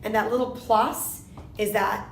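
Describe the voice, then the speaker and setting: A woman in her fifties, born in Argentina, answering interview questions in a measured way, often posing a question to herself and then answering it. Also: on the high side, steady tone, roomy sound, slight background noise. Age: 50s. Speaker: interviewee, lawyer.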